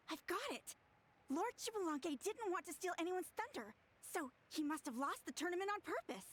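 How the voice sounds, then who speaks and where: An eager young villager from an anime game. The voice is high and very lively, bouncing around with excitable energy. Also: female voice, normal speed, exaggerated voice. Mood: excited.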